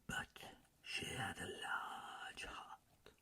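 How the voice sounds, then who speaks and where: A man with a very raspy voice voicing a solemn spirit in a storybook reading, speaking in a soft, hushed whisper. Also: extremely slow, heavily acted. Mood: gentle. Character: solemn spirit, quiet and grave.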